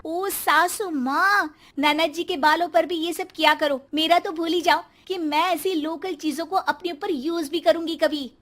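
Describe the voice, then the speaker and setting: A woman's voice: extremely high pitch, fairly even intonation, measured pace, a bit bright. A woman voicing a snobbish, spoiled rich young daughter-in-law in a moral story, haughty and dismissive, dropping English words into her Hindi. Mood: haughty, scornful.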